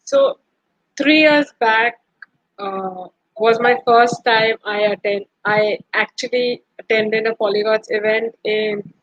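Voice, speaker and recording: A woman's voice: on the high side, takes it slowly, a bit bright, no room sound, lo-fi sound. A woman from India, a Gujarati speaker, answering earnestly on a panel with hesitant fillers. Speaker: panelist and translator.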